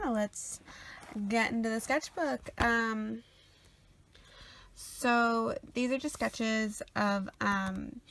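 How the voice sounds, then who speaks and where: A woman's voice: mid-range voice, creaky, fairly bright tone. A sniffly woman rambling casually with lots of ums and uhs as she shows her sketchbook. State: sniffly after a cold. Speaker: artist showing her sketchbook.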